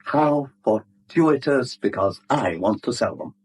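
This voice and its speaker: A genial blackmailer in a seventies radio play. His delivery swoops with relish, and the voice has a slightly husky edge. He is suave and wordy, teasing his victim with mock courtesy. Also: medium pitch, expressive, takes it slowly, darkish tone. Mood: gloating, amused. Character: smug, genial, middle-aged male blackmailer, mockingly courteous. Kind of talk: 1970s radio drama acting.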